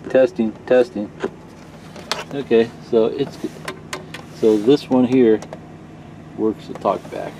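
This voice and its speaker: Slow-talking man over loud background noise. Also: medium pitch, highs cut off. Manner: halting, thinking aloud. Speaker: host of a beginner radio tutorial. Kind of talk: casual hands-on demonstration. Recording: loud steady hum and hiss.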